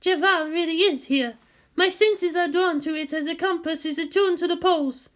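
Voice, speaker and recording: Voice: extremely high pitch, normal speed, fairly bright tone, voice pushed up. A performer voicing an earnest, easily flustered woman mystic, formal and defensive, in an audiobook story. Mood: apologetic.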